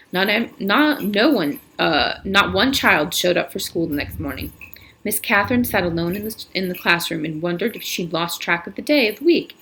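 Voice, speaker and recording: A woman's voice: mid-range voice, fairly bright tone, breathy edge, low-quality recording. A woman reading a novel aloud in a casual manner, with slips and restarts. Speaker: reader.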